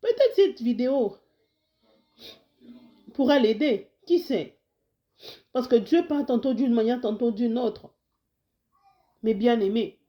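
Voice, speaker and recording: A woman from Congo talks to her audience, her voice slightly raised in pitch yet dark and mellow. She is a little hoarse from a cold and stumbles and restarts as she speaks. She keeps pressing her listeners to share and subscribe. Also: expressive, slow, long pauses. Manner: warm exhortations, frequent restarts, mixes in Lingala phrases. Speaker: health video host. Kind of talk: casual talk to camera. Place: lives in the United States.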